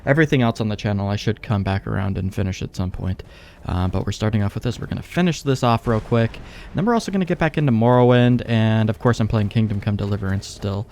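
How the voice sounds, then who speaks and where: A man's voice: on the low side, quick pace, darkish tone, slight background noise. A young man giving rambling, laid-back, sweary let's play commentary as he games. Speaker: gaming YouTuber, let's play host.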